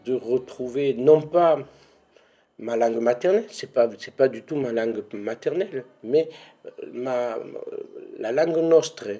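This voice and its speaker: A man's voice: mid-range voice, unhurried, mellow. A man in a casual interview, talking earnestly and searching for words with many restarts.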